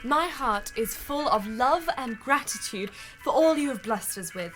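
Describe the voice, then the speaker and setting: Proper young victorian lady, highish voice, earnest, quietly defiant, soft music behind, radio drama. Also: animated, unhurried. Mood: pleading. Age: young adult. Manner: formal, earnest, genteel. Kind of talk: period radio drama acting.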